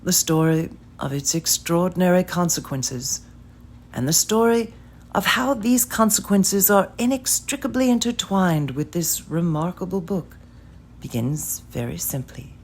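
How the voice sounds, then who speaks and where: A woman giving a scripted literary narration, reading a story in measured, deliberate phrases with pauses. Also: lowish voice.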